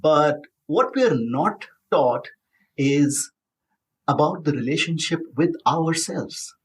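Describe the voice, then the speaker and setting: A man's voice: fairly high pitch, animated. Upbeat man hosting a podcast. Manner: upbeat, emphatic, drawn-out phrasing. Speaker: podcast host.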